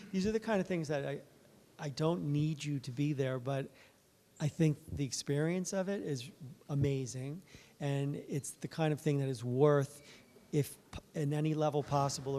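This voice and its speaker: A man, a fertility doctor, giving a soft-spoken, conversational talk at a conference, with many fillers and restarts. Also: medium pitch, slight background noise. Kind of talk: conference presentation. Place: conference room.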